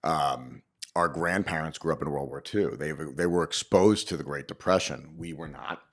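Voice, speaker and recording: A man's voice: extremely low pitch, moderate pace. A man speaking emphatically and casually in an interview, giving opinionated advice with rhetorical check-ins. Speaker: interviewed business owner and show host.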